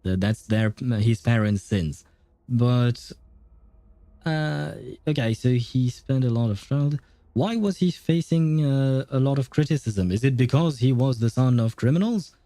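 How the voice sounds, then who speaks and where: A man's voice: medium pitch, lively intonation, measured pace, dark tone, dry recording. A man hosting a game livestream, giving casual, rambling commentary as he thinks aloud. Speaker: livestream host.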